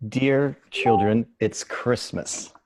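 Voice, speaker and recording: A man playing a kindly, grateful poor father in a dramatic table read, his voice husky. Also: mid-range voice, slow, crowd noise, lightly acted. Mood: tender, grateful.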